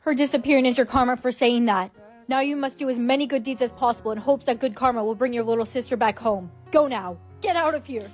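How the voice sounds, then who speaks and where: A woman's voice: on the high side, fast talker, faint music behind, natural voice. A woman acting dramatic characters such as a mother in a skit. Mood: scolding.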